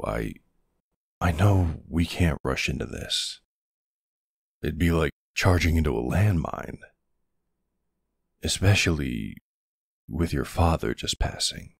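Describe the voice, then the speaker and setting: A man playing a tender, protective older ex-soldier boyfriend with a husky, gravelly voice in an intimate ASMR roleplay. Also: very deep voice, no echo, clean studio sound, exaggerated voice. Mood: earnest, apologetic. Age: middle-aged or older character. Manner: affectionate teasing, hesitant pauses, soft reassurance.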